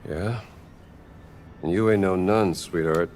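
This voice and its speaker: A man playing a gruff, wry American archaeologist adventurer in a cinematic game, dry and sardonic. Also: low voice, slow pace, darkish tone, a little hiss or hum. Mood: wry, irritated.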